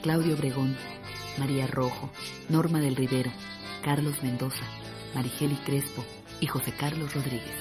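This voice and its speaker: A woman announcer reading the credits in an even, formal way. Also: very deep voice, normal speed. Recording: studio voice over loud guitar music.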